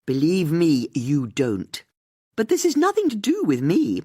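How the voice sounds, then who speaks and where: An audiobook narrator voicing a confident, bossy older woman amateur sleuth with a brisk, emphatic manner. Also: medium pitch, huge pitch swings, warm tone, slightly rough, no echo, clean studio sound, voice pushed up, full character voice. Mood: breezy, self-assured.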